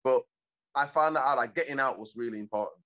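A man's voice: mid-range voice, fast, pushed and bright, muffled recording. A man from East Africa talking reflectively in a casual conversation, rambling and often checking in with "you know what I mean". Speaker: guest.

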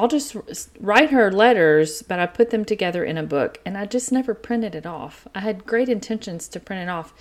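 A middle-aged woman with an East Texas accent, hosting her podcast from a quiet home in an earnest, chatty way. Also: lowish voice. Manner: chatty, earnest, talks faster as she warms up. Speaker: podcast host. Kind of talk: casual solo podcast talk.

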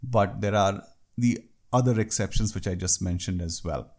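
A man with an Indian English accent gives a talk on working with foreign clients. His voice sits fairly low, and he speaks in a loose, conversational way, dropping in wry asides. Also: moderate pace, a little mellow. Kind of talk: talk on workplace culture.

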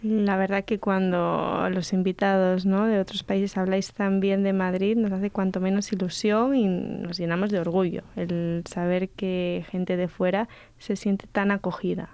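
A woman's voice: medium pitch, flat delivery, measured pace, few pauses, a little mellow, clean tone, muffled recording. A woman from Spain hosting an interview, framing questions in an effusive way. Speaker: host.

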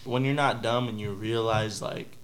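A young man with a low-set voice chats in a loose, rambling way, full of fillers and restarts. A faint ambient hum sits behind him. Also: fairly even intonation, normal speed, rough recording. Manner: laid-back, rambling with many fillers. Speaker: podcast guest. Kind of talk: casual chat.

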